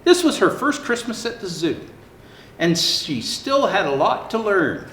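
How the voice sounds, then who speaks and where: A man plays a jolly old Santa Claus reading a children's storybook. His voice sits high and swoops with theatrical expression. He takes his time, and the room adds a clear echo. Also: very animated, a little slow, a bit bright, heavily acted. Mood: jolly, storytelling. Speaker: storyteller reading picture books aloud. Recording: roomy echo, faint background noise.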